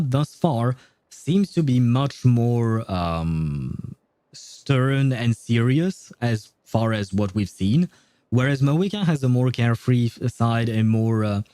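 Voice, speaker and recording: A man's voice: mid-range voice, expressive, slow, dark tone, no echo. A man giving casual livestream commentary on a game, chatty and musing, sometimes reading dialogue aloud. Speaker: game streamer.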